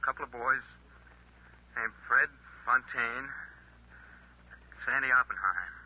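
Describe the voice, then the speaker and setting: Husky, mumbling battered man. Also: mid-range voice. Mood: pained, urgent. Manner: halting, mumbling. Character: battered, halting man struggling to inform. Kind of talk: 1940s-50s radio crime acting. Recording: muffled old radio recording.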